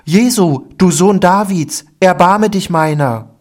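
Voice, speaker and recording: A blind beggar crying for mercy, his voice thrown high and loud above the calm reading, as a male reader puts him on. He pleads with raw urgency, each call strained and pressing. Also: slow. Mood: pleading.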